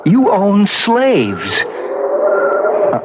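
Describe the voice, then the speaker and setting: An actor playing a proud, sensitive young colonial gentleman in a radio drama, formal and earnest with a bitter edge. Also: sits high, huge pitch swings, extremely slow, full character voice. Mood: wounded, bitter. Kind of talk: 1970s radio drama acting. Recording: muffled 1970s radio broadcast, clear background noise.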